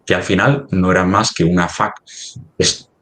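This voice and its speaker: A man with a Spanish accent from Spain presenting in a semi-formal webinar discussion, haltingly searching for his words. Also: low voice, steady tone, fairly bright tone, rough recording.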